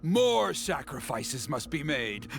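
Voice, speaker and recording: A fanatical scheming elder. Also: male voice, fairly high pitch, very animated, extremely slow, vocal fry, over music, full character voice. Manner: formal, then fanatical with laughter. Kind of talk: video game voice acting.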